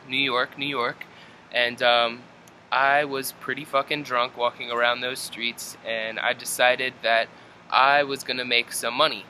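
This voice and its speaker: Very bright-voiced young man, casual and slangy. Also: medium pitch, slight background noise, rough recording. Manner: laid-back slangy talk with swearing. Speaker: metal band vocalist answering fan questions. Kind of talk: casual vlog chat. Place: indoors at home.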